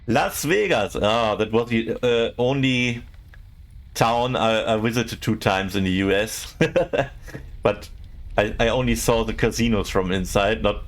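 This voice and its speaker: Halting, gravelly-voiced man streaming. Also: on the low side. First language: not English. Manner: halting, searching for words, many fillers. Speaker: game streamer. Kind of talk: casual live-stream chat with viewers.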